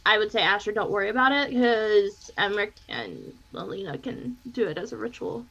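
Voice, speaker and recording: A woman's voice: mid-range voice, animated, normal speed, creaky, a bit bright, dull audio. A woman talking casually as a player in a tabletop role-playing game.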